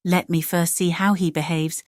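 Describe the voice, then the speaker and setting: A woman playing a composed, sensible young gentlewoman in a dramatized audiobook, measured and sincere. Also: fairly low pitch, little pitch movement, dry recording, clean studio sound, close to an everyday voice. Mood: guarded. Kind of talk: dramatized audiobook character acting.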